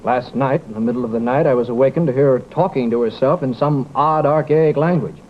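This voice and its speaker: An actor playing a self-important, testy scientist in an old radio drama, speaking in a pompous, clipped, theatrical way. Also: male voice, mid-range voice, fairly quick, natural voice. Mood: earnest. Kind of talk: old-time radio comedy-drama acting. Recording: muffled, noisy old radio recording.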